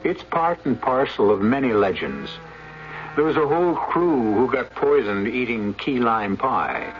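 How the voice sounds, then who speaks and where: A man hosting a radio suspense anthology, narrating in a measured, theatrical, ominous manner. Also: mid-range voice, expressive, a little hiss or hum. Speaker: radio drama host and narrator. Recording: muffled radio broadcast with music behind. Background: music.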